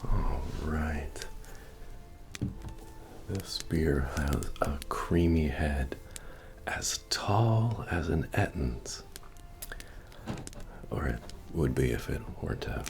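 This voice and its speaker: A man playing a very deep-voiced, slow tavern keeper. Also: full character voice. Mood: genial, wry. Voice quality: deep, gravelly, breathy murmur. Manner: unhurried, folksy, gently joking, hesitant asides. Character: friendly, wry medieval fantasy tavern keeper. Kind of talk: soft-spoken ASMR roleplay. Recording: soft music playing behind the voice. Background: bard-style music.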